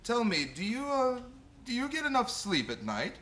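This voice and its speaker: A man acting a kindly, unflappable old Santa Claus in a radio play, genial, gently teasing and unhurried. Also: extremely high pitch, wildly swooping pitch, a little hiss or hum, heavily acted.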